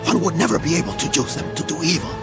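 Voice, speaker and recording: An actor playing a loyal, wry Spanish mercenary with a Spanish accent and a gravelly voice in a video game. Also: male voice, lively intonation, rapid-fire, lightly acted. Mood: doubtful. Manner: familiar, wry, peppered with Spanish words. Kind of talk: dramatic video game cutscene acting. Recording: music and rumble behind.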